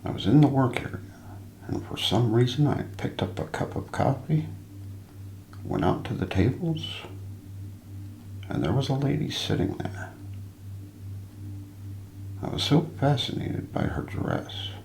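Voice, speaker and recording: A man softly narrating mystery stories in an ASMR style, hushed and deliberate, with pauses. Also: medium pitch, very animated, gravelly, reverberant, slight background noise, low-quality recording. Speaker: ASMR narrator hosting a mystery show roleplay. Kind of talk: soft ASMR scripted narration of ghost stories.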